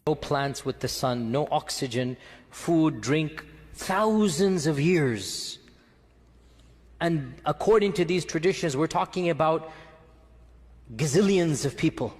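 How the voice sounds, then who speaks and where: A man speaking in a played clip, asking questions slowly and in a measured way. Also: fairly high pitch, a little mellow, in a large hall.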